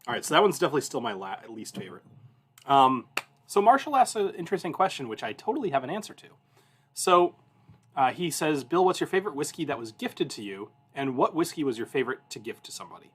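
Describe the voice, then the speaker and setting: Male host, 30s, mid-pitched voice; informal livestream chat, loose anecdotal delivery that wanders and doubles back. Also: very animated, quick pace, a bit bright, slight background noise. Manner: informal, rambling, self-interrupting. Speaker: whiskey review show host.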